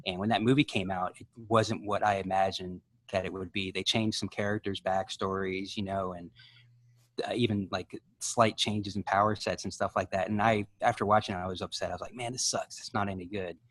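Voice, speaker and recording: Lowish-voiced man in a dry recording. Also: level tone, a little fast, fairly bright tone, no echo. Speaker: podcast co-host. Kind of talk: casual conversational chat.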